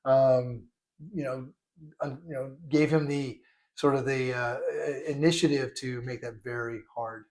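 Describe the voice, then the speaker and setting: A man's voice: mid-range voice, steady tone, measured pace. A man co-hosting a casual chat, earnestly clarifying points and often restarting his sentences. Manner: earnest, stresses being clear, restarts often.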